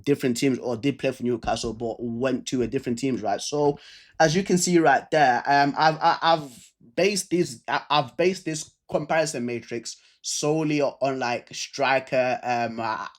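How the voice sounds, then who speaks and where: A man's voice: mid-range voice, normal speed. A man with a stammer who repeats words and restarts, casually talking viewers through a football stats breakdown. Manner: chatty, speaks straight to viewers. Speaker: football video creator.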